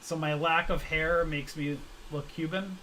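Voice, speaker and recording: A man hosts a live trading stream, chatting loosely with viewers and tossing in jokes and slang as he reads their comments. His voice sits a little high for a man. A faint hum runs under the recording. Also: steady tone, measured pace, bright, forceful tone, rough recording. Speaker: stock trading livestream host. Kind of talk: casual livestream chat reading comments.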